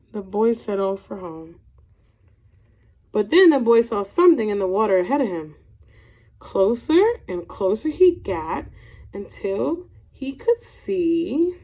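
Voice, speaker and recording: A woman's voice: fairly high pitch, big pitch swings, takes it slowly, pauses often, mellow. A woman teacher speaking expressively to young pupils, as in a read-aloud of a children's storybook. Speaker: teacher reading a picture book aloud to children.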